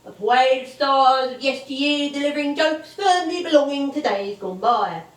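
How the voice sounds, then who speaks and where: An actress playing an older English music-hall comedienne on stage, wistful and chatty, reminiscing in a rambling way. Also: female voice, high voice, moderate pace, natural voice. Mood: wry, resigned. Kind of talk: theatrical stage monologue and dialogue. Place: theatre stage. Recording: echoey stage, distant microphone.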